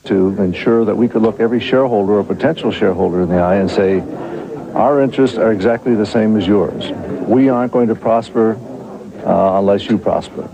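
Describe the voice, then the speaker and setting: A man speaking earnestly and fast, as a company executive, with street noise behind him. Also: fairly low pitch, creaky, crowd noise, low-quality recording.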